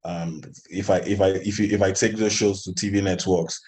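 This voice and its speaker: A man's voice: sits low, steady tone, normal speed, a little mellow. A man from Nigeria giving conversational interview answers as an animation studio founder, in hesitant phrasing with repeated restarts.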